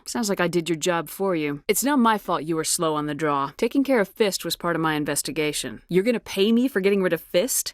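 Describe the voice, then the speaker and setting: A woman's voice: on the low side, no echo, clean studio sound, natural voice. A woman playing a steely but compassionate military starship commander in a video game, speaking in a firm, direct and controlled way. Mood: assertive, challenging. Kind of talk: scripted video game dialogue acting.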